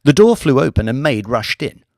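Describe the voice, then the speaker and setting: A man reading an audiobook in a measured, expressive narration. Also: mid-range voice, no echo, clean studio sound. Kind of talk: scripted narration.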